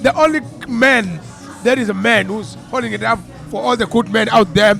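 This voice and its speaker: South african actor guy, high gravelly voice, laughs a lot, stumbles over words, music faint behind. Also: moderate pace, fairly bright tone. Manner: laughing, repeats words while thinking. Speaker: actor guest. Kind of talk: casual interview chat.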